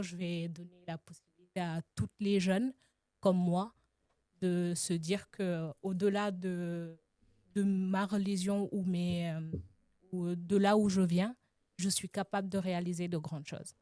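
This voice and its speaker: Young woman guest, from Senegal, hesitant and thoughtful; darker warm tone, dry close sound. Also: on the low side, level tone, unhurried, no room sound. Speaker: guest, association founder. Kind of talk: casual interview answers.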